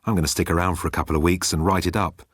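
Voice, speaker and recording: A man's voice: deep voice, fairly even intonation, rapid-fire, husky, no room sound, studio quality. A man voicing an easygoing, pleasure-loving alien travel writer, casual and glib.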